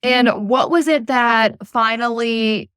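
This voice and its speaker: This is a woman hosting a podcast who asks thoughtful questions and murmurs along attentively while her guest speaks. Her voice is smooth and resonant, recorded dry and close. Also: fairly high pitch, slow, a bit bright, dry recording. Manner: attentive, frequent listening murmurs. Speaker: podcast host. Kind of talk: interviewing.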